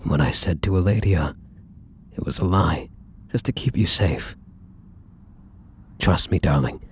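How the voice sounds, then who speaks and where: A man playing a tender, devoted, battle-weary vampire lover in an intimate ASMR roleplay, speaking softly and breathily with gentle, hesitant, affectionate pauses. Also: sits low, slight background noise. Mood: adoring, tender. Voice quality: soft, breathy, husky.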